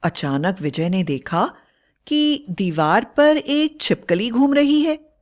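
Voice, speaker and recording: A woman's voice: mid-range voice, sing-song, takes it slowly. A woman storyteller reading a scripted bedtime fairy tale as narrator.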